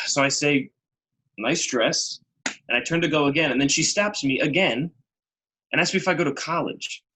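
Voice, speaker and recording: A man's voice: on the high side, normal speed, pauses often, sharp tone, slight background noise, poor audio. An actor playing a chatty, well-meaning delivery guy, rambling and mildly flustered in a casual video-call play. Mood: bemused, sympathetic. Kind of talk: casual one-sided call in a Zoom play.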